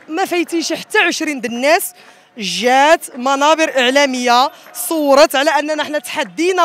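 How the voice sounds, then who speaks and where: A woman's voice: high-pitched, fairly bright tone. A woman in her thirties with a Moroccan accent giving impassioned, emphatic protest testimony into a handheld microphone outdoors, often repeating herself. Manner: emphatic, repetitive. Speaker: protesting wedding musician. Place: outdoors in a city square. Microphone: handheld reporter microphone.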